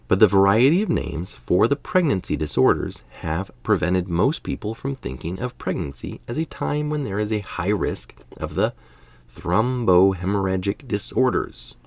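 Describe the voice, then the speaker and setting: Casting: tired man, middle-aged, low creaky voice, informal article reader who drops in offhand remarks. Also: very animated, unhurried, dry recording. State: tired late at night. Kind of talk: reading an article aloud with casual asides.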